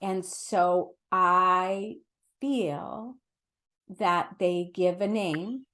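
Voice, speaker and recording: A woman's voice: fairly low pitch, very slow, fairly bright tone. A middle-aged woman giving conversational spiritual teaching as an interview guest, earnest and emphatic, explaining with everyday examples.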